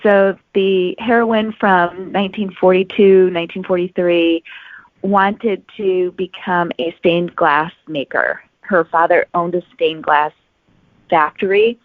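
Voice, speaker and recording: Lowish woman on a phone line. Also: steady tone, takes it slowly, no echo. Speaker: author guest. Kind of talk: explanatory interview answers. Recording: narrow phone-like sound, very dry.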